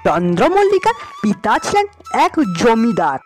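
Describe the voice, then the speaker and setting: Female storyteller for cartoon fairy tale: high, swooping delivery over background music. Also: wildly swooping pitch, moderate pace.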